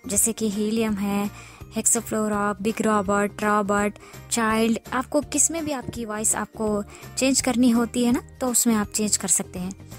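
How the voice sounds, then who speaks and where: A woman's voice: medium pitch, fairly even intonation, moderate pace. A woman presenting an informal step-by-step app tutorial, explaining and repeating her instructions as she goes. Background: music.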